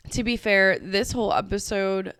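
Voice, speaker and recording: A woman's voice: mid-range voice, fairly bright tone, no echo. A woman hosting a podcast, speaking casually and hesitantly with many fillers and restarts. She sounds nasal from a cold and talks from her room at home. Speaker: podcast host. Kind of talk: casual confessional podcast talk.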